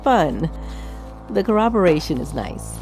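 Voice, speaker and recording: A woman's voice: deep voice, big pitch swings, measured pace, over music, rough recording. Lady as a haughty tower wizard, dry n teasing, very formal wording, lots of lilt, fantasy audio drama. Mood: amused, dry. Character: wry, haughty, composed female wizard who owns a tower.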